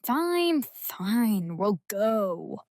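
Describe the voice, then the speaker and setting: A young actress playing a playful, mischievous athlete and best friend in an audiobook, chatty and teasing. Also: female voice, mid-range voice, sing-song, drawn-out words, a little mellow, dry recording, exaggerated voice. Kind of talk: audiobook character acting.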